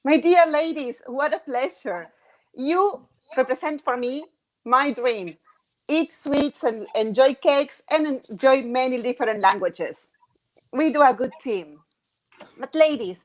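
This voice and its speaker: A woman hosts an online panel with a high voice and plenty of enthusiasm. Her English phrasing is slightly unusual. She warmly welcomes her guests. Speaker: host. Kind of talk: online interview.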